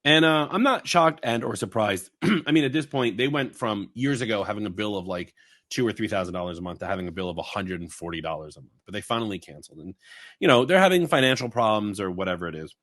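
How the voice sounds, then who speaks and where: A man from Long Island, co-hosting a podcast in rambling, animated casual chat. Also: fairly low pitch, fast talker. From Long Island, New York. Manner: rambling, animated storytelling with fillers and asides. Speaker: podcast co-host.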